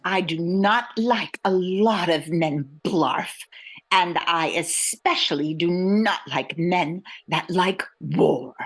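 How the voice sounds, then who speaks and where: A woman plays a proud small-town lady in an amateur radio drama. The delivery is slow and deliberate, with principled disdain. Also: on the low side. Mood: firm, disdainful. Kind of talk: amateur radio play acting.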